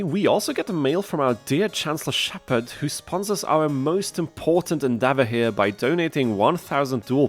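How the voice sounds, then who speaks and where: A man hosting a gaming video, reading scripted challenge-run narration with dry, tongue-in-cheek asides. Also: medium pitch. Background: background music.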